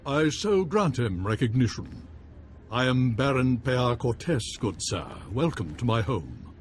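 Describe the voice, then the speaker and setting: A man's voice: medium pitch, sing-song, unhurried. A man playing a gracious noble baron in a video game, speaking in formal, courtly phrases.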